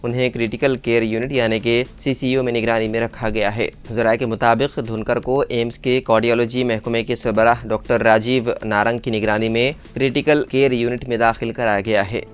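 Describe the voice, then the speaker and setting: Male news narrator, mid-range pitch, formal diction, reading scripted bulletin copy. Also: flat delivery, fairly quick, few pauses, clear, resonant tone. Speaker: news reader.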